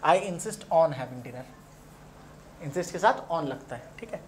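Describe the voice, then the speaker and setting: A man's voice: fairly high pitch, expressive, slow, a little hiss or hum. A man with an Indian accent teaching English grammar in an informal lecture, explaining with example sentences and repeating key points. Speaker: English grammar teacher at an exam coaching class.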